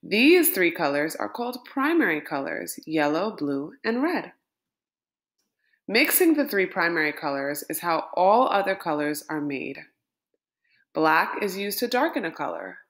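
A woman reading a children's picture book aloud, lifting her voice on each item in a list. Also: on the low side. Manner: slow, lilting and expressive, lifting words in lists. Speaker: storybook reader.

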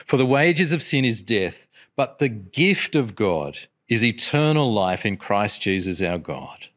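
Middle-aged male chaplain, slightly low voice, slow solemn liturgical delivery. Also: very animated, fairly bright tone. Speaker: chaplain leading prayer. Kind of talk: liturgical prayer. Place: indoor chapel at a lectern. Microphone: lectern microphone.

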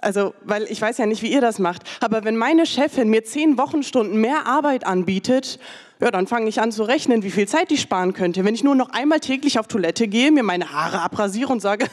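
A young woman performing her own poem at a poetry slam on a dark stage, delivered rhythmically and ironically into a stand microphone. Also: a little high, big-room sound. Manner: rhythmic, ironic, rising to intense. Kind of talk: spoken word performance.

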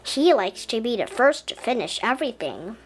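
A woman reading a children's story aloud in an expressive, deliberate storybook style. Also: fairly high pitch, slightly rough, faint music behind. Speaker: children's book reader. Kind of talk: expressive scripted storybook narration as a young boy.

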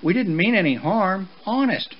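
A man's voice: high voice, lively intonation, moderate pace, dull audio, voice pushed up. A man voicing a young, eager, easily hurt colt in a children's storybook, boyish and earnest. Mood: meek, pleading.

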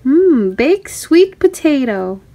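A woman storytime host reading a picture book aloud for children, with expressive, sing-song questions and exclamations. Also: high voice, takes it slowly.

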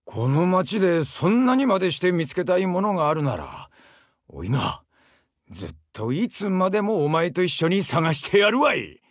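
Brash big-hearted young sailor for a mobile game: southern Japanese dialect, high-set husky voice, rustic and boisterous. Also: male voice, very animated, moderate pace, dry recording, clean studio sound. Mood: affectionate, earnest. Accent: southern Japanese dialect, Kagoshima-like. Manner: boisterous, rustic, swinging from bashful murmurs to hearty shouts. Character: brash, warm-hearted young muscular seaman, eager big-brother type.

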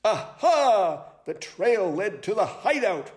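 Man voicing a pompous, high-pitched owl detective. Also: big pitch swings, slow pace, full character voice. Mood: excited accusing. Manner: pompous, declamatory. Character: dramatic, self-important, excitable male owl detective. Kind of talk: children's storybook character voice.

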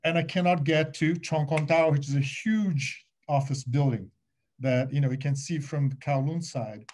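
Mellow-voiced middle-aged man on a video call. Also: on the high side, normal speed. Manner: animated, gesturing, restarts and many fillers. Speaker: alumni panelist. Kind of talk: online panel talk. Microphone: laptop webcam on a video call.

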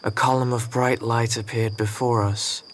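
A man playing a reflective, observant storyteller, narrating a radio drama in measured, literary phrases. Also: mid-range voice, level tone, slight background noise, close to an everyday voice.

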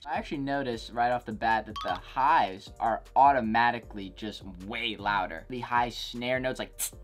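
A young man talking straight to camera from a couch in his bedroom, chatty and a bit jokey as he reviews a gadget. His voice sits at an ordinary pitch for a man, and faint music plays low behind him. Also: moderate pace. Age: young adult. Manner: chatty and jokey, lots of "go ahead" and "like". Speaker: tech reviewer, channel host. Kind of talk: casual product review vlog.

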